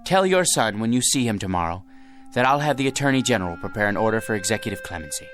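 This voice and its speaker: An animated, hapless everyman with grand pretensions. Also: lowish voice, fast. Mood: pompous, presidential. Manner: theatrical, shifts from pompous to faltering. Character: hapless, grandiose everyman dreaming of being president, then genie. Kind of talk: radio drama acting. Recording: radio drama with music underneath.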